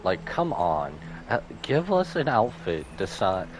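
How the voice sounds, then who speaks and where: Animated, low-voiced male game streamer. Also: unhurried, low-quality recording. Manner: jokey, exclamatory, talks back to characters. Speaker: live streamer. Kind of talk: casual game reaction commentary. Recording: game music behind.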